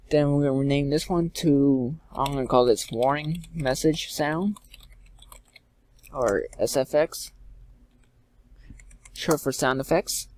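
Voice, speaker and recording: A man giving an informal step-by-step software tutorial in a halting way, repeating and correcting himself as he goes. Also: on the high side, slight background noise. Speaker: tutorial presenter. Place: indoors, screen-recording voice-over.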